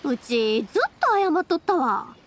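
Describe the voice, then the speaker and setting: A woman playing a cheerful, caring, energetic young fireworks maker with a Kansai accent in an anime game. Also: high-pitched, moderate pace, a little hiss or hum. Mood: chatty, upbeat.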